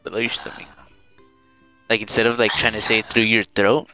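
A woman's voice: rumbling low, flat delivery, fairly quick, bright, forceful tone, soft background music. A woman streamer chatting casually and playfully bossing her friend around during a game stream. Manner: playful, bossy, coaching. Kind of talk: casual chat while gaming.

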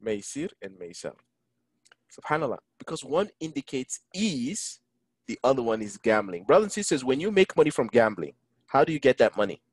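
A man teaching an online Quran lesson, engaging his listeners and reciting Arabic verses quickly. English is not his first language. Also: medium pitch, big pitch swings, breathy edge, no room sound. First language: neither Arabic, English nor French. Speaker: imam teaching a Quran class. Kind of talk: online Quran lesson over a video call. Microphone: video call.

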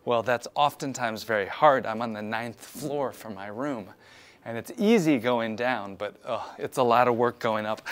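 A man in his thirties giving a chatty, upbeat walking tour of a cruise ship, talking to a camera held at arm's length. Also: medium pitch. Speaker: cruise vlogger. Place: cruise ship interior and decks. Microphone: handheld camera at arm's length.

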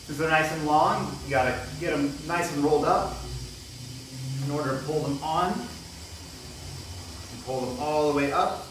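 A young man giving a relaxed, casual product review with frequent ums and restarts, recorded on a camera mic in a bare room. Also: fairly high pitch, a little slow, long pauses. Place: bare indoor room with wooden floor. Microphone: camera mic, near then from a distance. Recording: echoey room, faint hiss.

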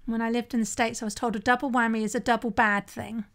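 A woman's voice: fairly high pitch, level tone, fast talker, a bit bright. A middle-aged woman hosting a solo podcast in a conversational way, often checking in with "right?". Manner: conversational, often asks "right?", occasional restarts. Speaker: podcast host and sober-living coach.

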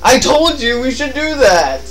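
A man's voice: very high voice, very animated, measured pace, music in the background. A young man doing casual, crude and exasperated game commentary with a friend. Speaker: let's play commentator. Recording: distant mic with background noise. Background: video game sound.

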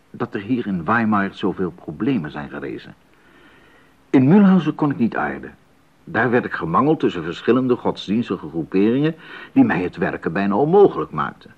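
An actor playing an earnest, devout and determined musician husband in a 1980s radio play, with formal, emphatic diction. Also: male voice, lowish voice, husky. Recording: dull, muffled 1980s radio recording.

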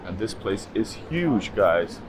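A man's voice: lowish voice, very animated, slow pace, crowd noise. A man in his thirties walking through a railway station, filming himself at arm's length and talking casually to viewers with many fillers. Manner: casual, thinking aloud with many fillers, addresses viewers as guys. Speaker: travel vlogger. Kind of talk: walking travel vlog. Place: outdoors at a city railway station, then inside a large station hall. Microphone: handheld camera at arm's length.

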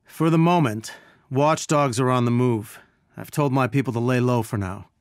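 An actor playing an earnest scientist who leads a resistance, guiding heroes through video game missions in a measured, dryly wry way. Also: male voice, mid-range voice, no echo, studio quality, close to an everyday voice. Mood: concerned, focused.

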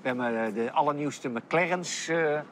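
A man in his sixties, a former racing driver, answering questions in a relaxed, hesitant way with many fillers. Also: on the high side, moderate pace, slight background noise. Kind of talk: casual interview answers. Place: outdoors at a racetrack, then driving a sports car.

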